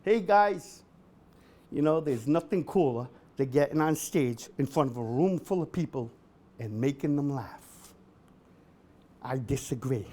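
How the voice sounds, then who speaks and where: Deadpan middle-aged male stand-up comic. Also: medium pitch, a little slow. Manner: deadpan delivery, bursts into shouted singing. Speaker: comedian host. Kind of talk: stand-up comedy set. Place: event hall with a live audience.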